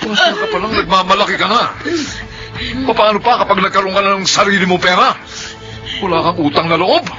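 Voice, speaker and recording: A man's voice: high-pitched, a little fast, close to an everyday voice. A man acting a stern, angry family man in a Filipino radio drama, scolding and accusatory. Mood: furious. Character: stern, angry man siding with his elderly mother. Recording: dull lo-fi broadcast, music underneath.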